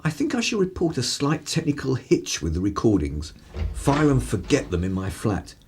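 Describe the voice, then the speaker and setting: An actor playing a cynical, irreverent 1930s English broadcaster in a radio play, with a husky voice and sardonic, theatrical turns of phrase. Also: male voice, mid-range voice, wildly swooping pitch, normal speed, a little mellow, a little hiss or hum. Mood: sly, confiding.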